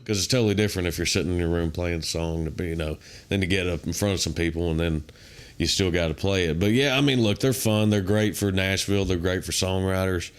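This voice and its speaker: Deep-voiced young man, laid-back. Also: fast talker, clear, resonant tone. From Kentucky. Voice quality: naturally deep voice. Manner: laid-back, drawling, many fillers like "man" and "uh". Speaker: country singer, guest. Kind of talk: casual interview chat.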